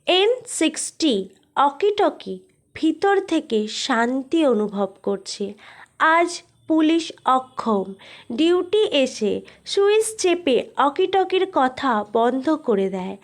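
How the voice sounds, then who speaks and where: A woman's voice: high-pitched, sing-song, slow. A woman narrating a thriller story in a theatrical, suspenseful manner.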